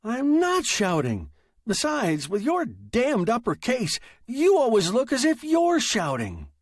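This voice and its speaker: A man's voice: very high-pitched, huge pitch swings, slow, no echo, studio quality, pitched above the performer's own voice, full character voice. A man acting a stubborn, flustered male writer in a story, protesting in a melodramatic, emphatic way. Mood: defiant, loud.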